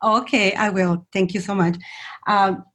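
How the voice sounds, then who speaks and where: A woman's voice: medium pitch, fairly even intonation, unhurried, a bit bright. A woman from Mexico, a podcast guest telling her story in a friendly, grateful way that laughs easily. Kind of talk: conversational interview answers.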